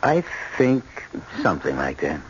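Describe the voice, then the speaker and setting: An actor playing a grave, gentle middle-aged father in a radio drama, speaking in a slow, measured way. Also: very deep voice, sing-song, a little hiss or hum, heavily acted. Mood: grave, consoling. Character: grave, gentle father consoling his grown son. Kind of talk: 1970s radio drama acting. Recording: muffled vintage radio recording.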